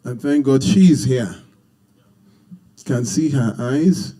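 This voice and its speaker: A man's voice: mid-range voice, slow pace, warm tone. A Nigerian-accented man speaking unscripted to an audience as a seminar leader, earnest and emphatic, often repeating his phrases. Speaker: speaker leading a marriage seminar. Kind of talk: unscripted public talk to an audience.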